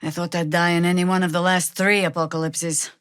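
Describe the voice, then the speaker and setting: A woman's voice: lowish voice, little pitch movement, a little fast, a bit bright, clear, resonant tone, no echo, natural voice. A woman playing an older, seasoned druid warrior in a fantasy video game, wry, dry and commanding in manner. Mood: dry, resolute.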